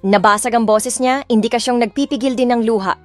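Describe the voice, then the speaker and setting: Filipino woman narrating over soft music. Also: fairly high pitch, fairly quick. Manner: emotional, restrained storytelling in Tagalog and English. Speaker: narrator and voice of the heroine. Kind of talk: first-person audiobook narration with acted dialogue. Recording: background music under the voice.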